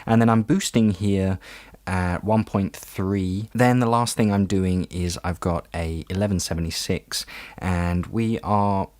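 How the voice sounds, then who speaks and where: Guy with a deep voice explaining stuff step by step, chatty tutorial style, really dry sound. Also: steady tone, a little slow, a little mellow, dry recording. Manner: conversational, explanatory. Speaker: audio production tutor. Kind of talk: informal step-by-step tutorial narration.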